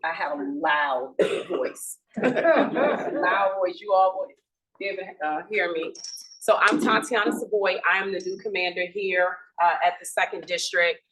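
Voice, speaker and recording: A woman police commander with a fairly low voice talks informally to a neighborhood meeting. She speaks without a microphone, so she sounds distant across the room. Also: unhurried, fairly bright tone, a little hiss or hum. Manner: informal, chatty, with many restarts and fillers. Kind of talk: community meeting talk.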